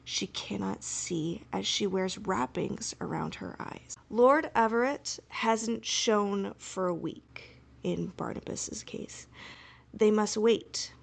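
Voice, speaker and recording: Female podcast co-host, casual and giggly, enthusiastic fan chat, some vocal fry. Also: medium pitch, takes it slowly. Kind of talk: casual fan discussion with recap.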